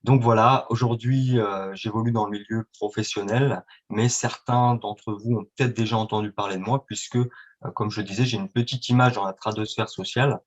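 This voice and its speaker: A man's voice: fairly low pitch, steady tone, moderate pace. A man answering interview questions as a guest, speaking with many fillers and restarts. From France and Italy. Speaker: guest, independent trader. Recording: dull lo-fi sound.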